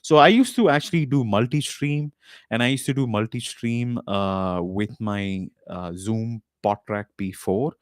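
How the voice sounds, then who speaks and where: A man's voice: on the low side, a little slow, dry recording, clean studio sound. A man hosting a podcast live stream, talking casually and loosely, with frequent fillers and restarts, into a desk mic at a home recording setup. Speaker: podcast host.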